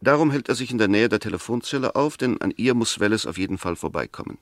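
A man's voice: lowish voice, lively intonation, fast talker, no echo, lightly acted. A man acting an insistent, courteous but sceptical defence lawyer in a 1960s German radio drama, precise and argumentative. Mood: insistent, reasoning. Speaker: actor in a radio play.

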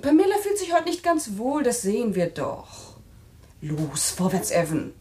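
A bossy wife with a fairly high, lively voice. She is brisk and chattering, with a faint hiss on the old recording. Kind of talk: radio play acting.

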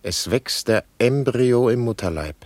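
An actor playing a solemn visionary voice, declaiming poetic radio drama like an incantation. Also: male voice, medium pitch, expressive, unhurried, a little mellow, no echo. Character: solemn visionary voice proclaiming like a prophet.

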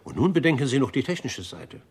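An actor playing a pompous, fastidious gentleman gourmet in a German radio drama, lecturing formally and rhapsodizing about food. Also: medium pitch, very animated, slightly rough, full character voice. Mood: lecturing, fervent. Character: pompous, fastidious gentleman gourmet, obsessively devoted to one restaurant.

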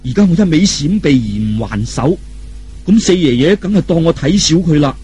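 A man's voice: a little high, expressive, normal speed, warm tone, slightly rough, faint music behind. A man voicing a naive, good-hearted young martial hero in a Cantonese audiobook, musing aloud guilelessly.

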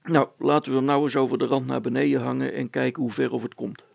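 Man playing a husky, muttering village handyman. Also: mid-range voice, level tone, quick pace, a little mellow, no room sound. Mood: focused. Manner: hesitant, muttering, practical. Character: practical, good-natured male handyman, busily muttering.

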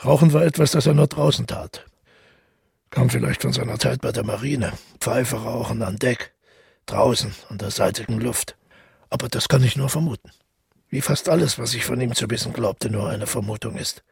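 A haunted, solitary man confessing in a literary radio play. His voice is very husky and full of gravel, recorded close and dry, and he speaks in a measured, inward way. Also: medium pitch, darkish tone, dry recording, exaggerated voice. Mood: brooding, reflective. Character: brooding, isolated man haunted by dreams and guilt. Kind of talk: literary radio drama monologue.

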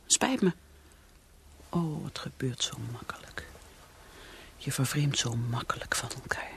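An actress playing a troubled, self-doubting alcoholic woman who craves peace, in an intimate, wavering, confiding radio play monologue. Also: measured pace. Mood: anguished, weary. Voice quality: breathy, often whispering. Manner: confiding, wavering, highly emotional.

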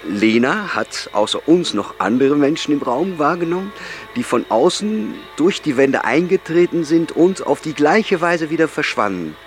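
An actor playing an earnest, solemn young man speaking deliberately in an audio drama. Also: fairly high pitch, lively intonation, faint music behind, lightly acted. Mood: earnest, searching.

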